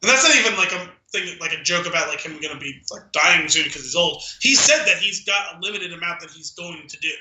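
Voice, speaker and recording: A man with a very bright, forceful voice pitched a little high talks casually as a podcast co-host. His phrasing rambles and feels its way along. The room around him echoes noticeably. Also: expressive, brisk, few pauses, a little hiss or hum. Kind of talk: casual film discussion chat. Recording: roomy, echoing room sound.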